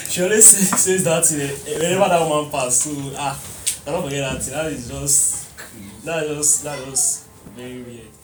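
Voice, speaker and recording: A young man with a Nigerian accent, talking in an animated way, laughing and mixing in Pidgin. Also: fairly high pitch, normal speed. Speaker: interviewee. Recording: roomy echo, distant mic, noise behind.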